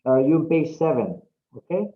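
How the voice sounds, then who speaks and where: A man teaching an English class, explaining slowly and often checking in with "okay?". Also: on the high side, big pitch swings. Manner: slow, drawn-out, frequent "okay?" checks. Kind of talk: online class instruction. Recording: dull lo-fi audio.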